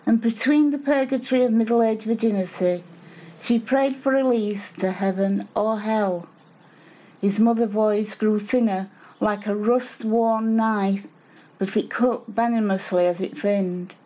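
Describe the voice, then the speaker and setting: A woman's voice: medium pitch, unhurried, dark tone. A woman reading narrative poetry aloud as a recital. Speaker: poetry reader. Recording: dull, muffled lo-fi sound.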